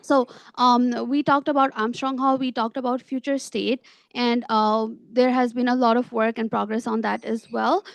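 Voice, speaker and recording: A woman's voice: on the high side, little pitch movement, moderate pace, vocal fry, no room sound. A young adult woman speaking as a student government officer giving a report at a meeting. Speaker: student government vice president.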